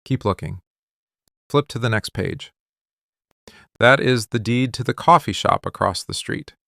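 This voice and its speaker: A man playing a possessive, obsessive mafia boss in an intimate boyfriend ASMR roleplay, speaking slowly, deliberately and with commanding, menacing intensity. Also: fairly low pitch, creaky, sharp tone, no room sound, studio quality, exaggerated voice. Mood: controlling, intense. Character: possessive, obsessive male mafia boss confronting his love. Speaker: ASMR voice actor.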